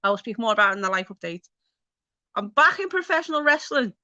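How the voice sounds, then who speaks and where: High, bright-voiced Liverpool woman. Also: sing-song, fast, dry recording, muffled recording. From Liverpool, England. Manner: informal, sweary, talks directly to viewers by name. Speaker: video channel host. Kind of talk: casual livestream chat with viewers.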